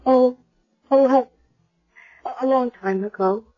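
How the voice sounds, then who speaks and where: A woman's voice: sits high, slow. An actress playing a tender, wistful young woman in a radio drama, with a hesitant, emotional delivery. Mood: flustered, wistful. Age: young adult. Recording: dull old 1970s radio broadcast.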